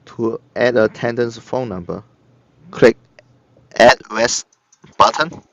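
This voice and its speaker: A man narrating a step-by-step software tutorial in short, halting instructional phrases. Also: mid-range voice, creaky, no room sound. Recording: dull lo-fi computer recording. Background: screen-recorded tutorial voice-over.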